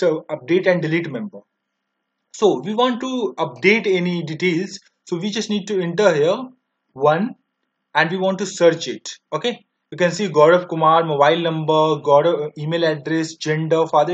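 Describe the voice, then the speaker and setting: A young man with an Indian accent walks through a software demo one step at a time. His voice is quite high for a man, and his delivery is halting and repetitive. The recording sounds dull and lo-fi. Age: 20s. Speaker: software tutorial presenter. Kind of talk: step-by-step screen demo narration.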